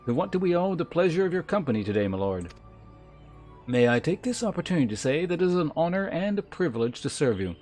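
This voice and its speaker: A man's voice: a little high, very animated, quick pace, darkish tone. Man doing a fawning, servile fantasy shopkeeper over music. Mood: fawning, eager. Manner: obsequious, flowery, overly polite. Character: fawning, servile tool supplier eager to please.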